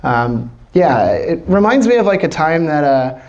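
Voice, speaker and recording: American dude giving a loose chatty talk to a tiny crowd, lots of ums, swears a bit. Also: male voice, on the high side, big pitch swings, measured pace, a little mellow, lo-fi sound. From Washington, D.C. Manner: loose and chatty, many fillers, swears freely. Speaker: startup founder giving a talk. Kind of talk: casual live presentation. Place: small room with a small live audience.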